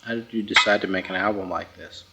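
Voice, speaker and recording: A man in his thirties asking questions in a halting, casual interview style, often rephrasing himself. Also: low voice, fairly even intonation, sharp tone, slight background noise. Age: 30s. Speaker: magazine interviewer. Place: in a house, over lunch. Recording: dull, lo-fi old interview tape.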